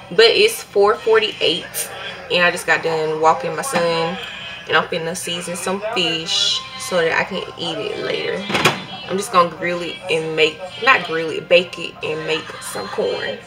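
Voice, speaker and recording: A woman's voice: lowish voice, fairly even intonation, a little slow, loud music behind the voice. A young adult woman with a Southern US accent, talking casually and informally to viewers as a vlogger. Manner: informal, conversational, addressing viewers as y'all. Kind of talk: casual vlog chat to viewers.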